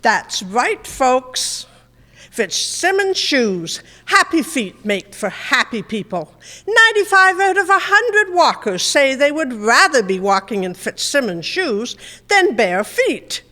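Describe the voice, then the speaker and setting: A woman's voice: high voice, big pitch swings, takes it slowly, bright, forceful tone, heavily acted. A woman playing a perky announcer in a parody 1940s radio commercial, with sing-song, emphatic sales patter. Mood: perky, sales pitch. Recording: reverberant hall.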